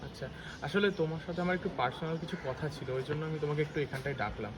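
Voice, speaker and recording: A young man acting an awkward, gossiping friend in an amateur short film, speaking haltingly and evasively. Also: a little high, close to an everyday voice. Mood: hesitant, awkward. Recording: noisy amateur recording with ambient background noise.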